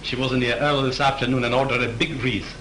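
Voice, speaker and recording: A man's voice: medium pitch, fairly even intonation, fast talker, close to an everyday voice. An actor playing a gossipy male florist who chats about customers, in 1930s film acting. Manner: gossipy, chatty. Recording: echoey, noisy 1930s film soundtrack.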